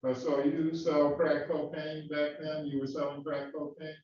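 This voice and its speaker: Man, defense attorney, halting questions, full of fillers, distant and echoey, dull sound. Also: fairly high pitch, little pitch movement, a little mellow, slight background noise. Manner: halting, many fillers and restarts. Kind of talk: courtroom cross-examination. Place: courtroom.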